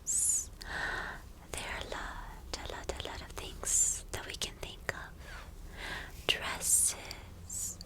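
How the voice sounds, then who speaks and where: A woman voicing a vain, obsessive villain girlfriend in a breathy, lingering ASMR roleplay whisper. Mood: doting, obsessive. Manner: slow, breathy, lingering, repeating phrases.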